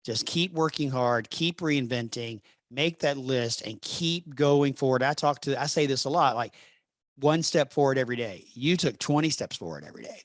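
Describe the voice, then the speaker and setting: A man hosting a podcast, friendly and encouraging as he takes his time with each question. His voice sits at a middle pitch. It is recorded dry and close. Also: animated, moderate pace, no room sound. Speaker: podcast host. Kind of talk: casual podcast interview.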